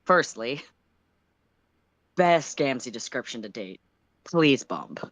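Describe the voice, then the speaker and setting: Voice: mid-range voice, animated, slow, fairly bright tone, dry recording. A narrator voicing an anxious, sarcastic teenage boy who mutters and interrupts himself in a story reading. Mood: mock outrage. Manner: muttering, self-interrupting, sardonic.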